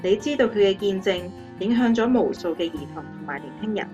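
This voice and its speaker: Radio drama: actress as affectionate, earnest young fiancée, mid-range voice, guitar underneath. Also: female voice, mid-range voice, expressive, a little fast, dull audio, natural voice. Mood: admiring, happy. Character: adoring, happy young fiancée of a motivational speaker. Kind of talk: scripted radio drama interview. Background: guitar music.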